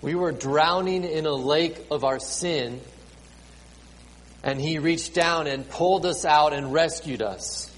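A man in his late thirties preaching a sermon to a congregation with children, halting and repeating words, with many ums. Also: on the high side. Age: 30s. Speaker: pastor. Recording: reverberant hall, faint ambient noise.

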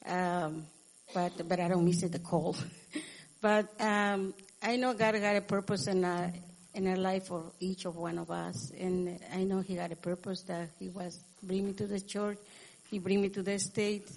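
A woman speaking haltingly with many fillers as she gives a testimony at a church service. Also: medium pitch, little pitch movement, a little mellow, poor audio. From USA. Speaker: church visitor giving testimony.

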